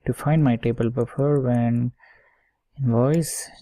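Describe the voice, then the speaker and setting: Male software instructor, muted mellow tone, dry close sound, thinking aloud through each step. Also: mid-range voice, steady tone, slow pace, dry recording, rough recording. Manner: explains step by step, often checking with "okay" and "right". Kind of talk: informal screen-share coding tutorial.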